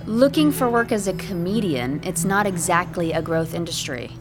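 A woman's voice: lowish voice, lively intonation, normal speed, close to an everyday voice. Sardonic young girlfriend, played by an actress, music behind. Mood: cautious. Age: young adult. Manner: dry, sarcastic delivery. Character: sardonic, exasperated young girlfriend, practical and skeptical. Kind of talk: naturalistic audio drama dialogue.

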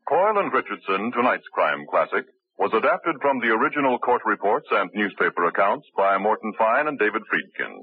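A man announcing the closing credits of a radio crime show in a formal, even manner. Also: on the low side, fairly quick, breathy edge. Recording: tinny dry old radio broadcast.

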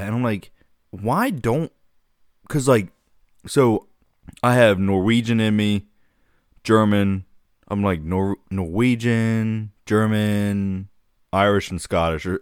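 A man's voice: fairly low pitch, no echo, studio quality. A man co-hosting a podcast in a casual, laid-back way, often calling his co-host dude.

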